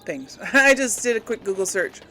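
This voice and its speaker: A woman's voice: medium pitch, expressive, moderate pace, sharp tone, a little hiss or hum. A woman giving casual, unscripted game commentary to viewers, rambling a little as she thinks aloud. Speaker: let's play host.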